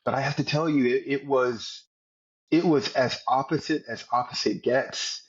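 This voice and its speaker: Husky-voiced man in his forties. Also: medium pitch, normal speed, lo-fi sound. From United States. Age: 40s. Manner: earnest, repeats words while telling a story. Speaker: podcast guest, former Navy SEAL. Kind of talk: storytelling interview.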